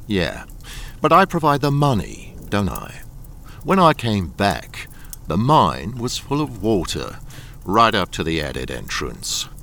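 Man playing a gravelly, slow, domineering gang boss. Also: fairly low pitch, huge pitch swings, bright, forceful tone, slight background noise, full character voice. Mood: cold, commanding. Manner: deliberate, coolly commanding. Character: cold, domineering smuggling boss, old mine owner's son. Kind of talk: radio drama acting.

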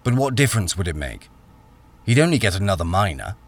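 An actor playing a wary, plain-spoken miner who tells his own story in a measured radio drama narration. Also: deep voice, very animated, heavily acted. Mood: guarded.

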